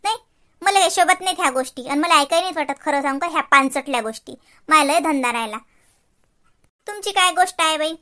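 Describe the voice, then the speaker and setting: A woman plays a cartoon daughter-in-law with a very high, girlish voice and a crisp, bright tone. She is chatty and slyly mocking, repeating phrases for effect in a rural Varhadi Marathi accent from Vidarbha. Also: fast. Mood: sarcastic, mocking. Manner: mocking, repetitive, gossipy. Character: sarcastic, chatty young village daughter-in-law, busy housewife. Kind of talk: exaggerated cartoon comedy acting.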